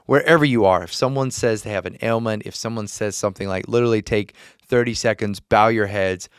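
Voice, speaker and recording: Earnest man telling a story, clear voice. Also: fairly low pitch, brisk, no room sound, clean studio sound. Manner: earnest, storytelling with many restarts and laughs. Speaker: podcast host. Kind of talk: casual faith chat. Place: podcast studio.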